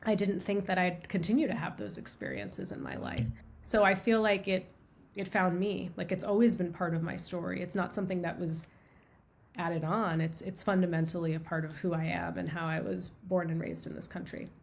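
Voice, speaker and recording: A woman's voice: lowish voice, fairly even intonation, moderate pace, vocal fry. A middle-aged woman from the United States, a guest author on an interview podcast, telling personal stories in an earnest, reflective way. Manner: earnest, reflective storytelling with repeated phrases. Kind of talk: conversational interview.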